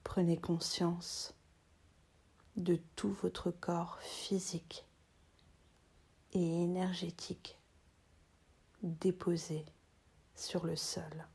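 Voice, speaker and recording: A woman guiding a relaxation meditation as a yoga teacher, in a soft, breathy, half-whispered voice, soothing and unhurried with long pauses between short phrases. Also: lowish voice, little pitch movement.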